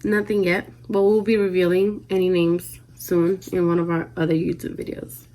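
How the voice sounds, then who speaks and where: A woman in her twenties with a Dominican accent answers questions in a relaxed, chatty vlog. Her voice is soft, and she often lets the ends of her lines fade out quietly. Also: on the low side, moderate pace, poor audio. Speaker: vlogger. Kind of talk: casual Q&A vlog.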